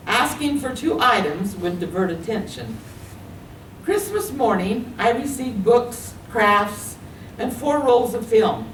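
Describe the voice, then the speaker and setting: Woman, memoir reading, lively storytelling, higher voice, roomy echo. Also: slight background noise. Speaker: writer reading her memoir. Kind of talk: literary reading. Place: library meeting room. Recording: echoey room, distant mic.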